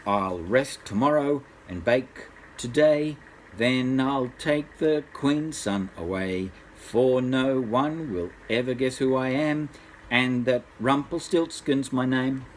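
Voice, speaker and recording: A man's voice: medium pitch, slow, slight background noise, lightly acted, low-quality recording. Grandpa doing a cross little magical man. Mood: gloating chant. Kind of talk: bedtime storybook character voice.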